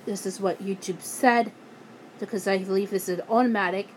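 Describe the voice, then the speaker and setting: A woman ranting angrily in a vlog, hoarse and losing her voice, often breaking off and restarting her sentences. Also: medium pitch, fairly even intonation, moderate pace, a little hiss or hum, poor audio. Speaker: YouTuber.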